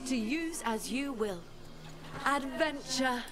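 An actress playing a wry ghostly female spirit in a video game, speaking in a measured, theatrical way. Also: high voice, animated, noisy background. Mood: wry storytelling. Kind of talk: video game dialogue acting. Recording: game audio with music behind.

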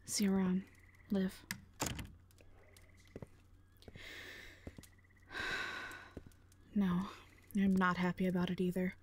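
A woman's voice: fairly low pitch, completely flat delivery, normal speed, darkish tone, a little hiss or hum, natural voice. A woman playing a blunt, protective warrior, sardonic but caring, in an audio roleplay drama. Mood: resigned. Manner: blunt, dry, foul-mouthed.

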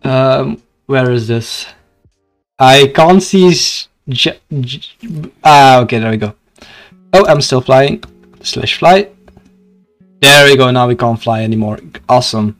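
A young adult man streams a video game with a Dutch-speaking Belgian accent. His voice sits at a medium pitch, and he chats casually, breaking off and restarting sentences as he reacts. Music plays behind him. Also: slow, pauses often, a bit bright. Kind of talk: casual live gaming commentary with chat.

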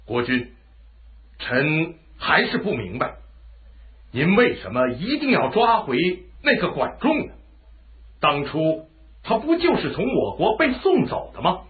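A man's voice: a little high, huge pitch swings, unhurried. An actor playing a loyal, battle-scarred general addressing his lord, earnest, formal and emphatic, in a Chinese historical radio drama. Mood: puzzled, respectful. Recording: muffled, phone-like lo-fi radio drama audio.

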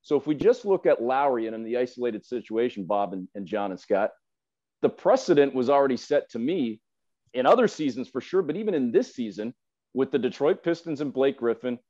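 A man's voice: medium pitch, big pitch swings, brisk, warm tone. A man in his forties making an emphatic, explanatory case with asides in a sports discussion on a video call. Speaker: sports broadcaster, podcast guest. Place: indoor room at home, video call. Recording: dull lo-fi video call audio.

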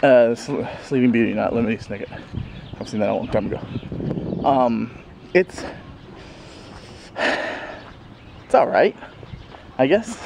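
A man's voice: medium pitch, sing-song, slow, gravelly, pauses often. Man, 30s, casual vlog, handheld camera at arm's length, outdoors, chuckling, rambling. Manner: rambling, many fillers, chuckles often. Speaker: vlogger. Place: outdoors in a sunny backyard. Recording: faint outdoor background noise.

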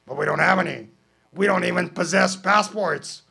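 A fussy, fretful male parrot stranded on an island, read out by a man, talking in prim, indignant tones. The voice sits well above a man's usual range, bright and edged with gravel. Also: high-pitched, normal speed, voice pushed up, full character voice. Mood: worried. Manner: worried, indignant, prim speech. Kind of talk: poetry reading, acted dialogue.

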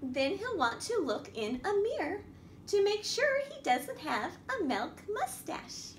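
Storytime lady reading to little kids, really high singsongy voice, slow, bit echoey w some background noise. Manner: expressive, sing-song, addressing young children with questions. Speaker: children's librarian, storytime host. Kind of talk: picture-book read-aloud for young children. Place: indoor room at a wooden table by a window. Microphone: camera across the table.

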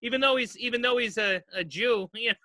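A man's voice: sits high, moderate pace, sharp tone. A man in his thirties chatting casually and playfully as a podcast host on a video call. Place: home basement.

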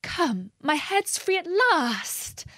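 Little girl, played by a woman, storybook heroine, high voice, slow delivery, earnest, plaintive, bewildered, polite. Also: sing-song, dry recording, studio quality, heavily acted. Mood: delighted. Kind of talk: storybook character reading.

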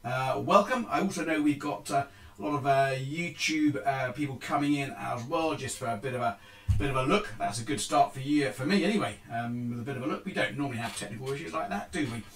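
A middle-aged man hosts a live forex trading stream, explaining the markets in a halting way with many ums and restarts. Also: medium pitch, a bit bright, low-quality recording. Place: small indoor room.

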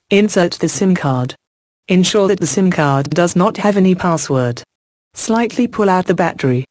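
Instructional narrator for a product demo: formal, deliberate step-by-step read, mid pitch, dry close sound. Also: a little mellow, no room sound. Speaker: product demonstrator narrating an installation guide.

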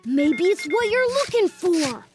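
Curious young boy neighbor, high and wide-eyed, over clear music. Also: big pitch swings, unhurried, exaggerated voice. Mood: eager. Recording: cartoon soundtrack with clear background music.

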